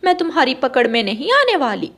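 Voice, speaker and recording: A vain thieving crow from a children's cartoon, voiced by a woman. She talks high and brash, cocky and sly in every line. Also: big pitch swings, fairly quick, a bit bright, exaggerated voice. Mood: brazen.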